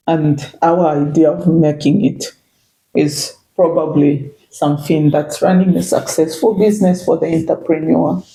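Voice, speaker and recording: A Zambian-accented woman with a gravelly, mellow voice that sits fairly low for a woman. She talks in a loose, reflective way, circling back with "you know" as she thinks. The recording carries a roomy echo. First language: Bemba. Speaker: guest. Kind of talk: casual interview chat. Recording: echoey room.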